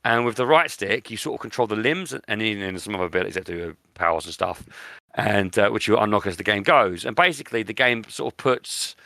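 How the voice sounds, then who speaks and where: A British man talks casually as a podcast host in a lowish, creaky voice. He is enthusiastic and repeats words to hammer points home, with a crisp, forward edge to the sound and a dry, close recording. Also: animated, brisk, pushed and bright, no room sound. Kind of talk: casual chat.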